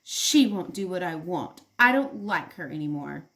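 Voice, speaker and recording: A woman's voice: lowish voice, expressive, moderate pace, fairly bright tone. A woman voicing a young girl kangaroo in a picture book, whiny and insistent, stretching out her demands. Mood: upset, stubborn.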